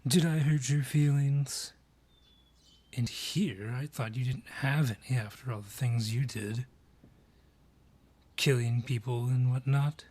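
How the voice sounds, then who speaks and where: A man's voice: mid-range voice, takes it slowly, long pauses, darkish tone. An actor voicing a haughty male dryad with a grudge in an audio roleplay, sardonic and theatrical, drawing out each taunt. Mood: sardonic, contemptuous. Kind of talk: scripted audio roleplay acting.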